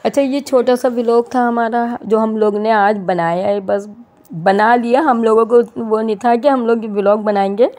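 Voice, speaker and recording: Mellow-voiced chatty woman vlogger. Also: fairly high pitch, steady tone, normal speed. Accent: Pakistani Urdu. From Pakistan. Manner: chatty, rambling, with many restarts. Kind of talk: casual vlog voice-over.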